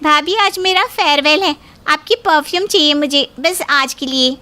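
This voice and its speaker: Chatty cartoon girlfriend or sister-in-law female actor, inquisitive, coaxing, high register. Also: moderate pace, noisy background, close to an everyday voice. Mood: coaxing, curious. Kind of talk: cartoon dialogue.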